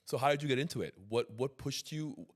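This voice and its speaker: A man's voice: medium pitch, a little fast. A man hosting a podcast, interviewing in a casual, chatty style.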